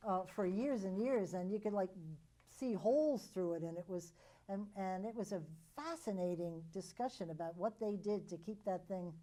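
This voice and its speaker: A woman's voice: medium pitch, normal speed, warm tone. An older woman hosting a casual panel talk, chatty and often chiming in with agreement. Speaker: talk show host.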